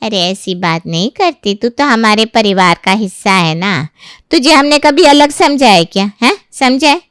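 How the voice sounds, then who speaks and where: A woman's voice: a little high, expressive, normal speed, a bit bright, smooth, dry recording, studio quality. A woman voicing a pragmatic, persuasive mother-in-law in a dramatised moral story. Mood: soothing. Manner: soothing, persuasive.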